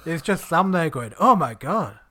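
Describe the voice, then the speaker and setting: A young man from Bradford co-hosting a podcast, rambling and laughing as his sentences trail off quietly. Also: a little high, big pitch swings, moderate pace. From Bradford, Northern England. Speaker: podcast co-host. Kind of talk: casual chat.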